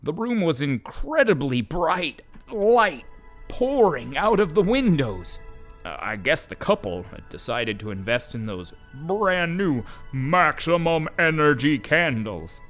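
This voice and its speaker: A man voicing an animated, bemused storytelling donkey. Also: a little high, slow, soft background music, full character voice. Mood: amazed, startled. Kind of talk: children's audio drama narration.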